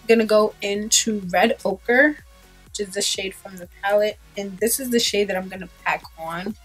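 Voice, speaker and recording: A woman's voice: medium pitch, moderate pace, soft background music, rough recording. A young woman in her twenties with a stuffy cold, chatting casually through a makeup tutorial in a small room, loose and full of ums and likes. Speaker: beauty vlogger. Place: small room in front of a pink backdrop.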